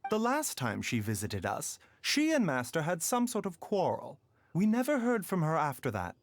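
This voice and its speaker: A man voicing a calm, measured young doctor with a faintly teasing manner, in a video game. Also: fairly high pitch, a little hiss or hum.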